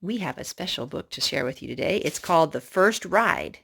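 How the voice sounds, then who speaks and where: A woman's voice: low-pitched, expressive, normal speed, gravelly, fairly bright tone, husky. A woman hosting a children's storytime, reading picture books aloud and asking little prompting questions in a child-directed way.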